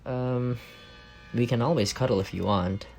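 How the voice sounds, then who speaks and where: A man's voice: mid-range voice, unhurried, gravelly, darkish tone, slight background noise. A young man playing an affectionate, teasing roommate with a secret crush in a casual, intimate ASMR roleplay. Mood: caring, slightly shy. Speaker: voice actor in audio roleplay.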